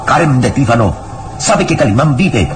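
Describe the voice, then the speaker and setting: A man's voice: medium pitch, sing-song, moderate pace, natural voice. A man narrating an old radio serial in a grave, ornate, suspenseful style. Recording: noisy old radio drama recording.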